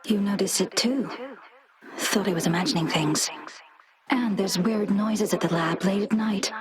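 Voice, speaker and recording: A woman's voice: mid-range voice, a little slow, a crowd in the background, lightly acted. Earnest, clinical female lab researcher played by an actress. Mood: uneasy. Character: earnest female laboratory researcher reporting to a colleague. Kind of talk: dramatic English video game voice acting.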